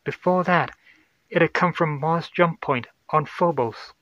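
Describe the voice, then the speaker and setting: A man's voice: a little high, measured pace, fairly bright tone, no room sound, muffled recording, pitched above the performer's own voice. A man voicing an anxious woman science officer, urgent and explanatory, in a sci-fi horror audio story. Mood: urgent, explaining.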